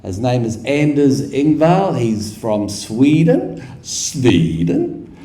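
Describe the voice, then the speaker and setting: A man's voice: medium pitch, huge pitch swings, slow, dark tone. A man with a New Zealand accent giving a conference lecture in a hall, repeating phrases for rhetorical effect and quizzing the audience with questions. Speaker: guest lecturer. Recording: echoey hall.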